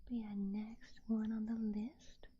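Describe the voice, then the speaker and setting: A woman hosting an ASMR stream, whispering softly and gently in breathy, unhurried casual chat, close to a binaural microphone. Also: a little high, level tone, dull audio, slight background noise. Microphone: close binaural 3Dio microphone.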